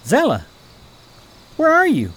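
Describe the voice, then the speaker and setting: A woman's voice: high voice, slow pace, a little hiss or hum, full character voice. A woman playing an earnest, anxious and awkward young prince in a radio play. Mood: friendly. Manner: earnest, excitable, hesitant when shy. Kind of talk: radio theater acting.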